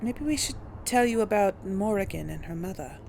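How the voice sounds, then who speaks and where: A woman's voice: medium pitch, expressive, moderate pace, gravelly, a little mellow, slight background noise. A woman hosting a gaming video, giving casual playthrough commentary and musing aloud hesitantly.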